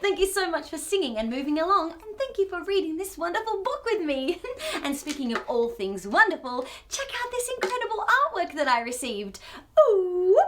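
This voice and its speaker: A woman's voice: very high-pitched, very animated, moderate pace. A woman presenting a children's storytime show in an enthusiastic, exclamatory and encouraging way.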